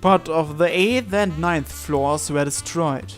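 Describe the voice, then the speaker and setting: Male game host, fairly high voice, dramatic read-aloud of visual novel text with casual asides, music underneath. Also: takes it slowly. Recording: music behind the voice.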